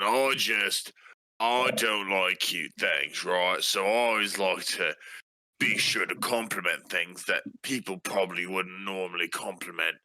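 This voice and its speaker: A man with a very bright, forward voice on the low side improvises dialogue in a tabletop game. He talks conversationally, coaxing and persuading in stop-start phrases. The recording is dry. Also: dry recording, low-quality recording. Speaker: player in a tabletop role-playing game.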